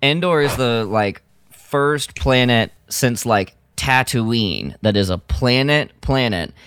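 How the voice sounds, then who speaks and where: Guy rambling about nerdy stuff on a podcast, animated, average pitch, very dry sound. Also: slow pace, clean tone, no room sound. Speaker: podcast panelist. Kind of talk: casual film-fan chat.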